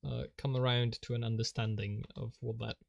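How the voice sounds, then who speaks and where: A man's voice: mid-range voice, level tone, brisk, creaky, lo-fi sound. A man whose first language is Polish, rambling and self-mocking as he thinks aloud while drawing on a live stream, chuckling often.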